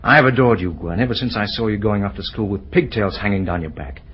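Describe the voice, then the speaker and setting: A man playing a bashful, lovestruck young man in an old-time radio drama, with an earnest, halting delivery. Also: fairly low pitch, fairly bright tone, breathy edge. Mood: tender, yearning. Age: young adult. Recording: noisy vintage radio drama recording.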